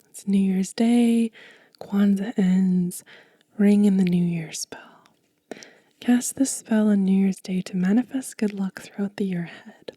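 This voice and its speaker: A soft-spoken woman doing an ASMR show and tell, whispering gently and without hurry close to the microphone. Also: medium pitch, no room sound. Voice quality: breathy, whispery, hushed. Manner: gentle, unhurried. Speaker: ASMR creator and host.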